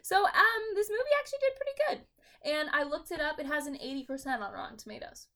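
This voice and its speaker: A woman's voice: sits high, expressive, fast, bright, forceful tone. A woman co-hosting a casual movie podcast, teasing and playful. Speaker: podcast co-host.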